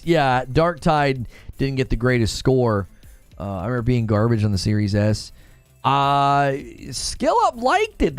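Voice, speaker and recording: A man's voice: medium pitch, darkish tone, no room sound. A young man hosting a live stream, chatting loosely about games and reading chat, with many fillers and restarts. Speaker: streamer host.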